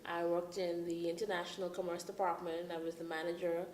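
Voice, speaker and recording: A woman from the Bahamas being interviewed as a dance ministry leader, speaking in a measured way with many "um" pauses. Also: fairly low pitch, level tone, darkish tone, roomy sound, a little hiss or hum. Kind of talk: casual interview.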